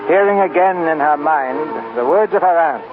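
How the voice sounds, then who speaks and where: A man hosting and narrating a 1940s radio theater show in a measured, formal, scripted way. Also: on the high side, lively intonation, music in the background. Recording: tinny, noisy 1940s radio recording.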